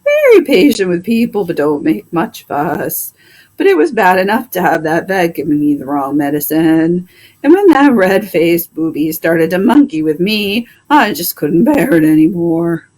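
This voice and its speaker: A woman voicing a plain-spoken, indignant male plow horse with drawn-out, emphatic complaints in a storybook. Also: fairly low pitch, big pitch swings, a little mellow.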